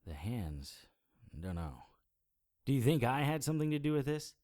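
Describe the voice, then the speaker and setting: A man's voice: mid-range voice, very animated, normal speed, a little mellow, close to an everyday voice. A man playing a wry, weary supernatural private investigator in an audio drama, with a dry, sardonic manner. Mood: reasoning, wary. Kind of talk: audio drama acting with first-person noir narration.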